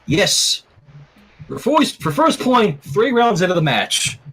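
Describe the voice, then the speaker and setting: A man's voice: sits high, big pitch swings, takes it slowly, fairly bright tone, breathy edge. A man reading fan fiction on a casual stream, switching between narration and chat.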